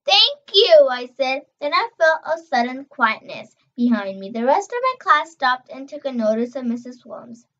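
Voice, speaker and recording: A young girl reading a children's novel aloud, expressive and emphatic on dialogue, stumbling over words now and then. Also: high-pitched, moderate pace, few pauses, dull audio. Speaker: reader.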